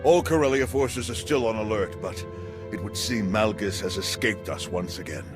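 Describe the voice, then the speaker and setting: An actor playing a composed, dutiful general giving formal military briefings in a science fiction video game. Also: male voice, lowish voice, moderate pace, close to an everyday voice. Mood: grave, puzzled. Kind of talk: video game cutscene acting. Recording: clear music under the voice.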